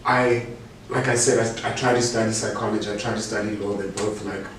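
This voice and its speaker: A man's voice: fairly low pitch, fairly even intonation, quick pace, a bit bright. Reflective South African man, roomy and distant. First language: Afrikaans. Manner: reflective and articulate, with frequent "you know" and restarts. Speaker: artist and guest. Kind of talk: casual conversation. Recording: roomy space, distant mic, faint noise.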